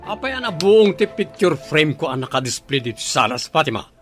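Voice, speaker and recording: Radio drama hothead dad played by a man: highish animated voice, indignant, scolding, music underneath. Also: fairly quick. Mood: angry. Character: short-tempered, scolding father and resentful elder son.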